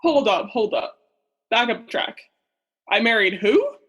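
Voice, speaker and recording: A woman's voice: a little high, big pitch swings, a little slow, a bit bright, husky, exaggerated voice. A young woman playing a naive, oblivious male oceanographer in a student radio play, earnest and melodramatic. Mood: bewildered, defensive. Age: young adult.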